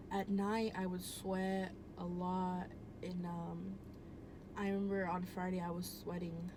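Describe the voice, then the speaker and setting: A young woman telling a personal story at home in a casual, rambling way, full of "um" and "like" and easy swearing. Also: medium pitch. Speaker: vlogger. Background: air conditioner hum.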